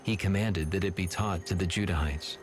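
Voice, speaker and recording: A man narrating scripture from a script in a measured, solemn manner. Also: low voice, over music. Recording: clearly audible background noise and ambience.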